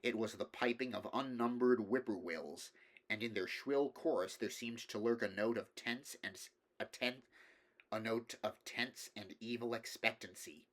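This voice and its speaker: A man reading literary horror fiction aloud, with measured, deliberate dramatic narration. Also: on the low side, a bit bright. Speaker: audiobook reader.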